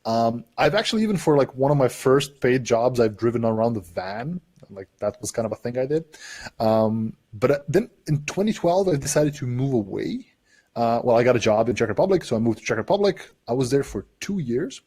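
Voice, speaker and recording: A man's voice: mid-range voice, lively intonation, a little fast, warm tone. Chatty Serbian-speaking man, casual interview guest. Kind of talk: casual chat. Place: small room at home. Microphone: video call.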